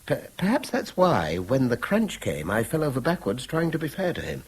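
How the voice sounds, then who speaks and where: An actor playing a conscientious, wry middle-aged schoolteacher with an English accent and educated, hesitant phrasing in a 1970s radio drama. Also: male voice, mid-range voice, wildly swooping pitch, moderate pace, slightly rough. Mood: wry reflection. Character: conscientious male schoolteacher, wry, guarded, hesitant but firm.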